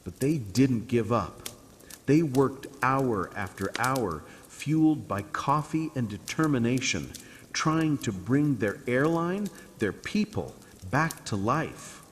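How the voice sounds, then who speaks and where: A man narrating a scripted bedtime story in a calm, soothing, dramatic way. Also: mid-range voice, slow, in a large hall, a little hiss or hum.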